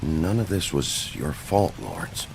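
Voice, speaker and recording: A man playing a gruff, brusque sheriff in a noir fairy-tale game, with a gravelly voice and terse, blunt delivery. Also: a bass voice, big pitch swings, a little slow, darkish tone, a little hiss or hum, heavily acted. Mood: subdued. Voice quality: gravelly, creaky.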